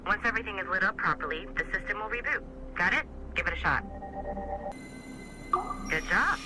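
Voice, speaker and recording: An actor playing a nervy, chatty office IT technician, talking informally and a bit wryly in video game dialogue. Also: male voice, high-pitched, lively intonation, fairly quick, music in the background. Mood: instructive, encouraging. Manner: hesitant, informal, wry. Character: nervy, chatty male office IT tech, snoopy and sheepish. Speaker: IT technician coworker. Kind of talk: game dialogue, casual guidance.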